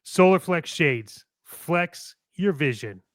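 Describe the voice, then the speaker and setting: A man's voice: a little high, expressive, slow pace, a bit bright, no room sound, clean studio sound. A man co-hosting a hobby talk show, moving between opinionated chat and brash, playful, slangy ad reads. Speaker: co-host of a toy-collecting show.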